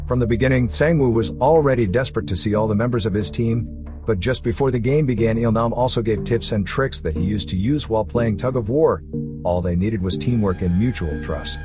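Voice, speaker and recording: A man's voice: fairly low pitch, brisk, music in the background. A man reading scripted narration for a story recap video, as its narrator.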